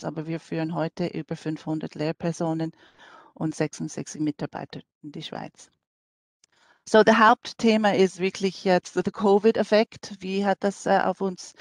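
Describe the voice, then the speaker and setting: American woman speaking halting German. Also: on the low side, no echo. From New York City. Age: middle-aged. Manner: hesitant, many fillers, non-native German phrasing. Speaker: language school director. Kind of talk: online conference presentation.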